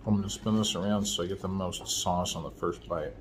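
A man in his forties doing a casual food review at home, chatty and relaxed, filmed on a phone at arm's length while eating. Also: low-pitched, fairly quick, slight background noise. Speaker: food vlogger. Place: small room at home, window blinds. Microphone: phone camera at arm's length.

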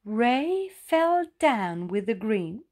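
A woman reads a rhyming children's story in a fairly high voice. Her phrasing is dramatic and very slow, with an animated melody. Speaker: storybook narrator. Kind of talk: expressive rhyming children's read-aloud.

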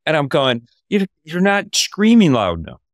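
An older man, a podcast host, telling a story in casual talk over a video call from a home office, his voice climbing when he gets excited. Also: fairly high pitch, normal speed, no echo, studio quality.

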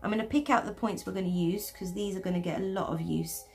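A woman's voice: medium pitch, fairly even intonation, quick pace, faint music behind. A woman talking viewers through drawing steps at her desk, chatty and self-deprecating. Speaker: art teacher. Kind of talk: step-by-step drawing tutorial.

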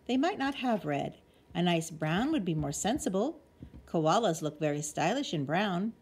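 A sensible, weary koala mum from a storybook, acted out by a woman reader. She speaks with measured parental emphasis in a slightly husky, lively voice. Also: on the low side, heavily acted. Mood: patient. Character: mother koala, sensible, weary, unexpectedly playful.

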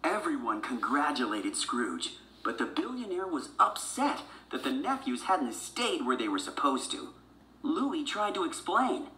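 A woman's voice: sits low, big pitch swings, measured pace, sharp tone, reverberant, slight background noise, rough recording. A woman narrating a children's adventure storybook from a script. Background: music.

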